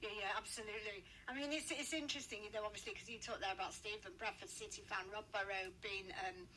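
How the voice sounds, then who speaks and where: A woman's voice: medium pitch, fairly even intonation, quick pace, bright, forceful tone, poor audio. A woman hosting a local radio interview in a chatty, effusive way, often repeating words and affirming. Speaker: radio host. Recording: radio interview with faint background noise.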